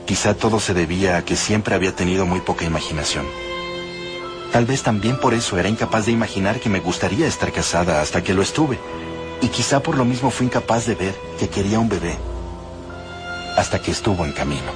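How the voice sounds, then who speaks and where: Male audiobook narrator, very low voice, dramatic measured delivery over music. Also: expressive.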